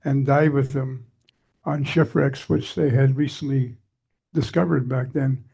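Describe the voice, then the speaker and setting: A man's voice: mid-range voice, steady tone, measured pace, vocal fry, dark tone, slightly rough. An older Canadian man giving a chatty, digressive talk to a society meeting, his voice scratchy from allergies. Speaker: guest speaker.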